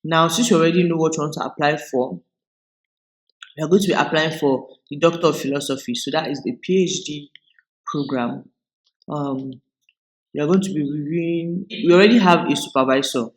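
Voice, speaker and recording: Nigerian male host, tutorial voice-over, step-by-step explainer, slightly higher voice. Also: normal speed. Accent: Nigerian English. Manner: explains step by step, relaxed, with frequent restarts. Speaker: video host. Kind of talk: screen-recorded tutorial walkthrough.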